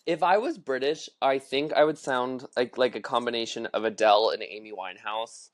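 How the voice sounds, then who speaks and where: A man's voice: medium pitch, moderate pace. An American man co-hosting a podcast, chatting casually in a playful mock Australian accent, campy and theatrical. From United States. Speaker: podcast co-host. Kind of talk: casual chat.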